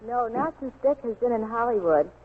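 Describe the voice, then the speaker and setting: A woman's voice: fairly high pitch, expressive, a little fast, breathy edge. A young woman as an interview guest giving a scripted testimonial on 1940s radio. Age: young adult. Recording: tinny, lo-fi 1940s radio recording.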